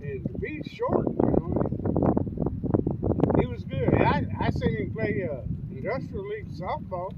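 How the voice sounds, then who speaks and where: An older man reminiscing in a casual, folksy way with colloquial grammar, halting and repeating words as he tells stories. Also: very high-pitched, wildly swooping pitch, vocal fry. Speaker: guest storyteller, uncle of the vlogger. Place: outdoors on a windy riverbank. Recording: muffled distant mic, loud wind noise.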